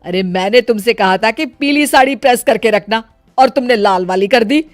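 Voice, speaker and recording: A woman voicing a bossy middle-aged mother-in-law in an acted Hindi story, sharp and commanding. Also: fairly high pitch, normal speed, no echo, faint music behind, close to an everyday voice. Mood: scolding, angry.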